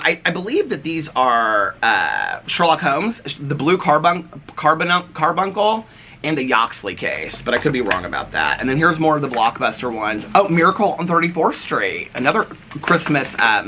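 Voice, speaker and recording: An American man chatting casually to camera as a vlogger, in a gushing, easily excited manner. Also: on the high side. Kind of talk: casual show-and-tell chat to camera. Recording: clearly noisy home recording with clicks.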